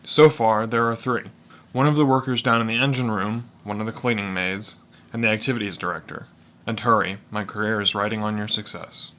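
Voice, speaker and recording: A man playing a solemn, deadpan police captain in an audio comedy. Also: fairly low pitch, fairly quick, natural voice. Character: solemn police captain anxious about his career.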